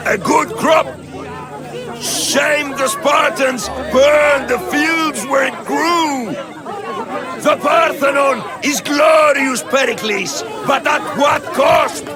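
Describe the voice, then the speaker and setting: An actor playing a fiery, defiant male Athenian demagogue in a video game, shouting a declamatory public speech. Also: very high voice, takes it slowly, crowd noise. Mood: indignant. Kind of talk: dramatic oratory in a video game.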